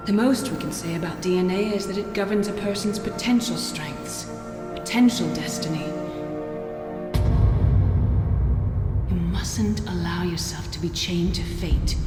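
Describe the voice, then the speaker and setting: Long reverb surrounds an earnest woman geneticist's monologue from a video game, voiced by an actress. She is tender and resolute, speaking in a measured, solemn way that softens at phrase ends. A musical score runs clearly behind her. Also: medium pitch, lightly acted. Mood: earnest, urging.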